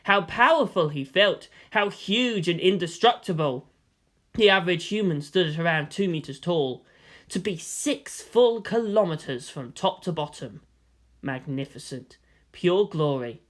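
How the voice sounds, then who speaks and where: A theatrical storyteller reads scripted fiction with great expression. The pitch moves in a lively, animated way, giving the prose a dramatic shape. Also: unhurried. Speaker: audiobook-style narrator of fan fiction.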